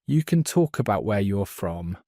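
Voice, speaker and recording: A man teaching a beginner English lesson, speaking slowly and clearly so learners can follow. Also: fairly low pitch, very animated, dark tone, dry recording, studio quality. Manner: slow, clear, deliberate delivery for learners. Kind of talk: slow scripted lesson narration.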